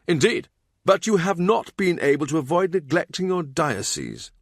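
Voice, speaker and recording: An imperious middle-aged king from a historical novel, acted out by a male audiobook reader. His delivery is emphatic and commanding, lifted higher in pitch, in a very dry recording. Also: sing-song, moderate pace, no echo. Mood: pointed.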